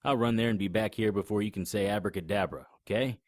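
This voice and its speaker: A man's voice: on the low side, fast, a little mellow, no room sound. A man narrating a first-person noir horror audio drama in a grim, matter-of-fact manner and voicing its lead character.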